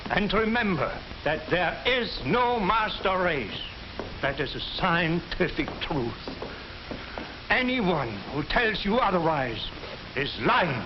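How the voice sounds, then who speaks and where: Defiant old-movie professor, formal slow lecture voice, really varied intonation, dull hissy recording. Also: male voice, high voice. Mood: calm defiance. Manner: measured, deliberate, formal rhetorical delivery. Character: dignified, defiant male professor lecturing against Nazi race ideas. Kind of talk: 1940s film acting, classroom lecture. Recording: dull, hissy, echoey vintage film soundtrack.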